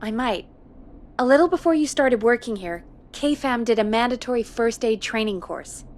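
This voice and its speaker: An actress playing a frank, practical radio producer in a video game, talking in a matter-of-fact, slightly wry way. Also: female voice, a little high, lively intonation, moderate pace, close to an everyday voice. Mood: earnest, practical. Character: practical, frank woman radio producer in 1980s small town. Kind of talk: video game voice acting, conversational.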